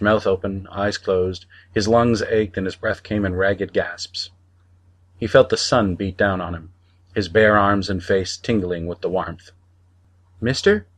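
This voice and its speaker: Male narrator, low voice, measured storytelling delivery for scripted audiobook fiction. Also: fairly bright tone.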